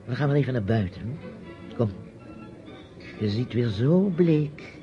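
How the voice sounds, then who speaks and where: A man's voice: medium pitch, lively intonation, unhurried, lightly acted. A muffled old radio drama with music behind. A man acts a probing, reproachful uncle whose voice swings widely as he presses his questions. He is patronising and insistent. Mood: brisk, pointed. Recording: dull old radio play recording, music.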